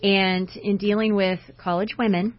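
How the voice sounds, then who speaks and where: A woman with a loud, strong voice, co-hosting an inspirational talk show as a coach in a passionate, conversational way. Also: mid-range voice, flat delivery, normal speed. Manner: passionate, big personality. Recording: dry, dull lo-fi audio.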